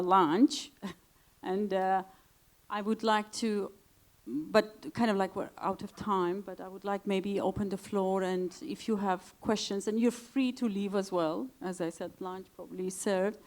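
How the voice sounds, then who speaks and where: A woman's voice: mid-range voice, darkish tone. A woman moderating a conference panel, friendly and a little hesitant, with many fillers.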